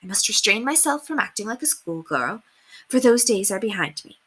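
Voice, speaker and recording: Woman playing an earnest, bright-voiced young governess. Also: medium pitch, big pitch swings, moderate pace, breathy edge. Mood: self-restraining. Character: earnest, modest young governess, eager and proper.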